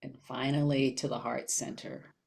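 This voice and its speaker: A woman leading a guided meditation in a gentle, unhurried manner with long pauses. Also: a bass voice, level tone, creaky.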